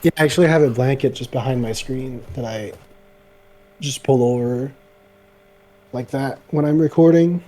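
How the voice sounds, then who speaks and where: French-speaking man, slightly gravelly, laptop mic. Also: medium pitch, normal speed, long pauses, warm tone. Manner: hesitant, many "like" fillers. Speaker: guest video creator. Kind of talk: casual podcast chat. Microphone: laptop microphone.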